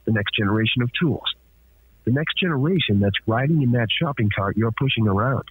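Heard down a phone line, a man delivers a scripted store advert. His mid-pitched voice moves up and down in an animated announcer's read. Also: fairly quick, breathy edge. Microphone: heard over a telephone line.

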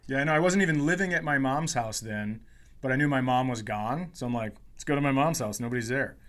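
A man's voice: mid-range voice, fast, fairly bright tone. A man co-hosting a comedy podcast, telling an anecdote in a casual, offhand, matter-of-fact way.

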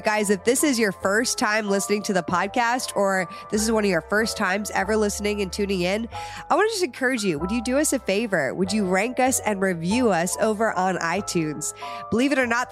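A young woman with a light lilt talks through her podcast in an upbeat, encouraging way. A head cold leaves her sounding nasal, and music plays clearly beneath her voice. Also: medium pitch. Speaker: podcast host. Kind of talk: casual podcast chat.

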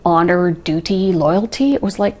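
Low-voiced woman, interview clip. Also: normal speed, a little mellow. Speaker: interviewed military officer.

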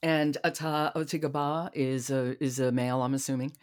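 A woman's voice: a bass voice, completely flat delivery, moderate pace, a little mellow. A woman hosting a podcast, speaking in a conversational interview style as she prompts a guest. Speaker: podcast host.

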